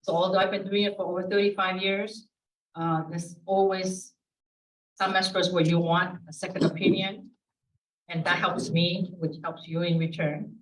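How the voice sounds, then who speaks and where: A woman's voice: on the low side, flat delivery, normal speed. A woman in her fifties from Peru, a native Spanish speaker, giving a chatty, informal self-introduction at an association meeting, with many fillers and restarts. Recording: echoey room from a distance.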